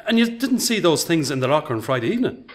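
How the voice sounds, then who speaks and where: Actor as anxious accused working man, animated pitch. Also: fast talker, a little hiss or hum. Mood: desperate, accusing. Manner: earnest, agitated, stammering. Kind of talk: radio play acting.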